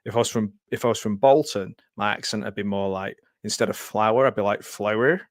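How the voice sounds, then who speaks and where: A man's voice: fairly low pitch, brisk, no room sound, clean studio sound. A young man with a Stockport accent from Greater Manchester, chatting casually and joking while hosting a film commentary. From England. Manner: chatty, jokey, laughs often. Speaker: commentary host.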